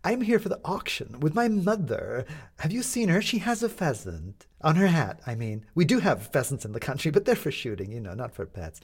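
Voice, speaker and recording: A woman's voice: deep voice, sing-song, brisk, a little mellow, breathy edge, voice pushed up, exaggerated voice. A man voicing a chattering, scatterbrained society lady who rambles breathlessly from one thought to the next. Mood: flustered chatter.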